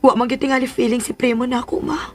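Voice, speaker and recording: A woman's voice: sits high, level tone, quick pace, soft background music, lightly acted. A woman with a Cebuano Filipino accent playing a sensitive, lovesick young daughter confiding in her mother in a radio drama. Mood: plaintive. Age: young adult. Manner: plaintive, confiding.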